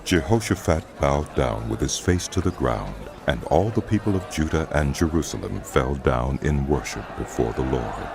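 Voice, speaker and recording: A man narrating scripture as a scripted drama, telling the story in a solemn, measured way. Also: rumbling low, expressive, gravelly, background noise.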